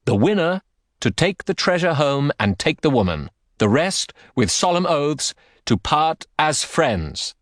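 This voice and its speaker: A man playing a warrior prince proclaiming to two armies in a loud, commanding voice. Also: on the high side, very animated, measured pace, no room sound, studio quality, pitched above the performer's own voice.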